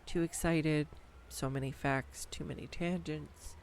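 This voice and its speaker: A woman voicing a nerdy, affectionate girlfriend who rambles excitedly about animal facts in an intimate audio roleplay. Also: low-pitched, measured pace, slight background noise. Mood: tender. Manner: enthusiastic, rambling, tangent-prone, giggly. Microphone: studio USB microphone.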